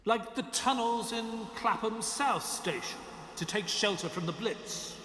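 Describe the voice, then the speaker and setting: An actor playing an earnest, bewildered doctor, polite and old-fashioned, in an audio drama. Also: male voice, high-pitched, a little slow, bright, forceful tone, slightly rough, full character voice. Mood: puzzled. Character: earnest, bewildered British doctor, gentleman narrator of adventures. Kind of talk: audio drama acting and scripted narration.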